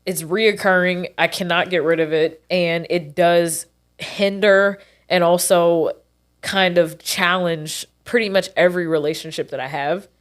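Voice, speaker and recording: A woman's voice: lowish voice, steady tone, measured pace. Blunt, stuffy-nosed young woman podcaster. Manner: blunt, swears freely. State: stuffy nose. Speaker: podcast co-host. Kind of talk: casual chat.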